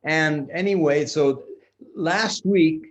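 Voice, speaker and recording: An older man with a slightly high voice tells a story to children. He speaks very slowly and theatrically, pausing and restarting as he makes it up. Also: highs cut off. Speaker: storyteller grandpa.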